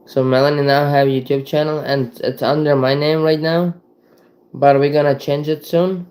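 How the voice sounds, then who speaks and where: A young man from Ukraine with a Ukrainian accent, chatting casually to viewers on a live stream, rambling with halting restarts and lots of "you know". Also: medium pitch, a little mellow. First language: Ukrainian and Russian.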